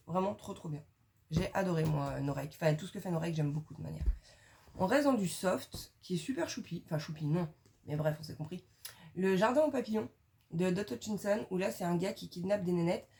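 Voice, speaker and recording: A woman's voice: sits low, mellow. Young adult woman, book vlogger, chatty and informal, filler-heavy. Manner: chatty, slangy, many fillers. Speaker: book reviewer. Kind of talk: casual video book recommendations.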